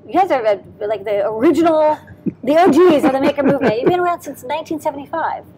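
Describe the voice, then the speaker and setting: A woman's voice: sits high, fast, a loud crowd, rough recording. A woman hosting a casual, joking interview in a factory. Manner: upbeat, joking. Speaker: host.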